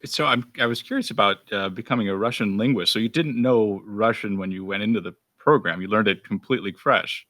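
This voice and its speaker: Deep, bright-toned, dry male host. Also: fairly quick, hardly any pauses, dry recording. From Brazil. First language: Portuguese. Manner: friendly, curious questioning. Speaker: podcast host. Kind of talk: podcast interview.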